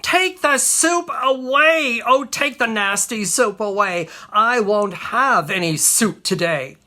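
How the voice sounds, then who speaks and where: A petulant little storybook boy, played by an adult reciter, screaming his refusals. The voice jumps high and loud, sharp and forceful, stubbornly defiant. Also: lively intonation, takes it slowly.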